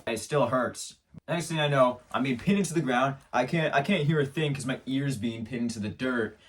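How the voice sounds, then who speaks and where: A man's voice: medium pitch, moderate pace, a bit bright, far from the mic. A man hosting his own show, telling an absurd comic story in a rambling, mock-serious way, stumbling over restarts. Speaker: show host. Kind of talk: absurd comedic monologue.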